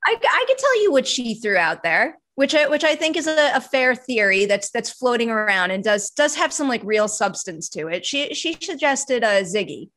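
An American woman in her thirties with a slightly high voice hosts a casual entertainment interview. She is chatty and enthusiastic, speaking close to a desktop microphone in a small room. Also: sing-song, normal speed, few pauses, fairly bright tone. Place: small home room, video call. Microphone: large desktop microphone, close.

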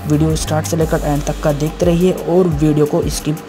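Male tech host, Indian accent, even unvaried pitch, step-by-step instructional read over clear background music. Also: fairly quick.

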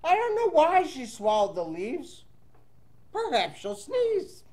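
A man reads a picture book aloud for children at an unhurried pace. His pitch moves up and down freely in a playful sing-song. Between lines he chats casually with his young listeners. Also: huge pitch swings, darkish tone. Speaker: children's storybook reader. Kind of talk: animated read-aloud to children with casual asides.

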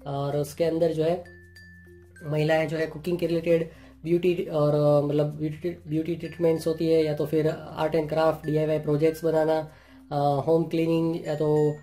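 A man's voice: a little high, level tone, moderate pace, warm tone. A man hosting an unboxing and review, talking through each item in plain explanatory Hindi full of repeated "jo hai" fillers. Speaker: channel host reviewing products. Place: indoors at a table. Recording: clear music playing underneath.

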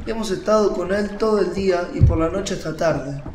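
A man's voice: sits high, normal speed, close to an everyday voice. An actor playing a young, devout fisherman in a radio drama, earnest and insistent. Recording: roomy, faint noise, water ambience.

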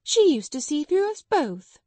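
A woman's voice: expressive, normal speed, dark tone, no room sound. A woman voicing a timid middle-aged spinster schoolmistress who breaks into tearful, hysterical accusations. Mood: hysterical, accusing. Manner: tearful, pleading, shrill outbursts.

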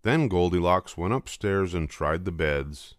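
A man's voice: low-pitched, expressive, a little slow, dry recording, clean studio sound. An older man reading a children's storybook aloud as scripted narration. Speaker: storybook reader.

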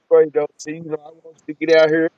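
A man's voice: fairly high pitch, monotone, fast, darkish tone, no room sound. An American man interviewing casually over a video call, using slang and often repeating words and tag phrases. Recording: slightly dull video-call audio.

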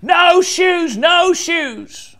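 A man voicing a stubborn little storybook girl in a tantrum, protesting loudly in drawn-out whines. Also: extremely high pitch, expressive, pitched above the performer's own voice, heavily acted. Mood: defiant shouting. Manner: loud, whining, drawn-out protests. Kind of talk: storybook character voice.

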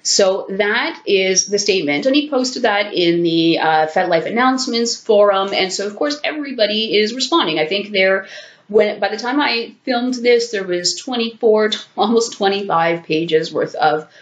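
A woman's voice: mid-range voice, normal speed. A woman in her thirties, sick with a sore throat and congestion, chatting frankly to her webcam at home, swearing freely and laughing between asides. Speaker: vlogger. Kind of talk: casual talking-head commentary. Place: small room at home, indoors. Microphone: webcam at desk distance. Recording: echoey room, dull lo-fi webcam sound.

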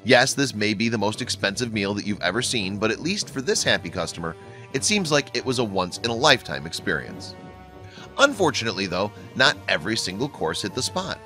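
A man narrating a scripted online video in a lively, bouncy read, recorded as a studio voice-over. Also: fairly quick. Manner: lively, bouncy read with wide pitch swings. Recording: faint music bed behind the voice.

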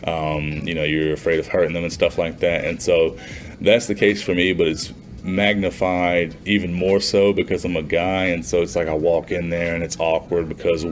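A man's voice: low-pitched, little pitch movement, moderate pace, faint music behind. A man in his twenties talking informally and conversationally to camera, like a student vlogger in a small room. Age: 20s. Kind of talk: casual vlog talk to camera. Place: small plain indoor room.

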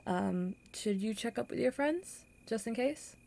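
Woman playing an awkward, flustered young woman, slightly creaky. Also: on the high side, lively intonation, warm tone, heavily acted. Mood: shy, caring. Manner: halting, flustered, stammering with nervous laughs. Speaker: audio roleplay performer. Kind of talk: intimate audio roleplay acting.

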